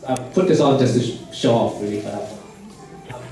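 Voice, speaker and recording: A man from Malaysia in his forties, a surgeon telling his life story to a church youth group in a relaxed, plain way with many "um"s. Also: mid-range voice, moderate pace. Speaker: surgeon giving a testimony. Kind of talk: personal testimony talk to youth. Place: church hall. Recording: very echoey hall, voice from far off, faint music.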